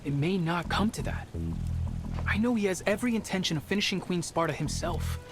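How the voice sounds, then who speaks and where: An actor playing a serious, dutiful teenage Spartan warrior in a video game, speaking in a measured, formal, earnest way over background music. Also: male voice, sits high, close to an everyday voice.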